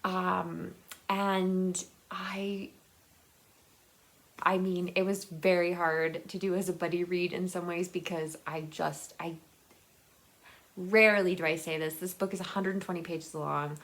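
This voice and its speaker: A woman's voice: lowish voice, level tone, unhurried. A woman chatting casually and enthusiastically to viewers in a rambling, conversational book vlog. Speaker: book vlogger.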